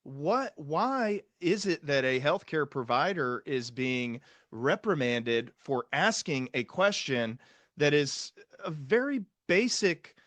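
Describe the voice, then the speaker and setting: A man hosting an interview, talking in an animated way and stringing together rhetorical questions. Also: fairly high pitch, takes it slowly, no echo. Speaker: podcast host. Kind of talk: conversational interview.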